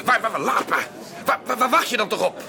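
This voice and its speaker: An irascible, blustering gentleman in a radio play. His high voice swings widely in pitch, with a crowd audible behind him. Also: lively intonation, brisk, exaggerated voice. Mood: exasperated. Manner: exasperated, blustering. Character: irascible, blunt gentleman fed up with a sponger. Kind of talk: Dutch radio drama acting.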